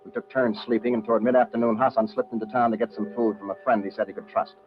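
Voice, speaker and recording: An actor playing a brash, wisecracking American adventurer, slangy and clipped, in an old-time radio drama. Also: male voice, mid-range voice, level tone, fast talker, close to an everyday voice. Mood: measured recounting. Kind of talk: old-time radio adventure acting with first-person narration. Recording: dull, hissy old radio recording.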